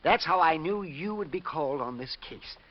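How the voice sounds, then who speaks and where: A man's voice: on the high side, lively intonation, normal speed, lightly acted. An actor playing a fussy, eccentric older male hoarder who rambles and gushes politely, in 1950s radio drama style. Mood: fretting. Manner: rambling, fluttery, gushing, overly polite. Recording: muffled, noisy old radio recording.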